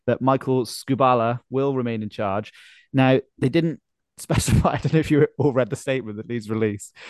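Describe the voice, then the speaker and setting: A man's voice: medium pitch, a little mellow, clear, resonant tone, no room sound. A man hosting a casual, jokey sports chat and quiz, often laughing through his sentences. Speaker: podcast host and quizmaster.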